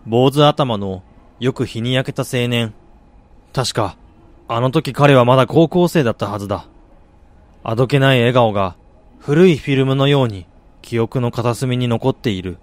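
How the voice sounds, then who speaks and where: A man acting in a radio drama as a driven businessman and husband, telling his life story in a measured, reflective way. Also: mid-range voice, close to an everyday voice. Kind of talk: radio drama monologue, literary narration. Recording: music bed behind the voice.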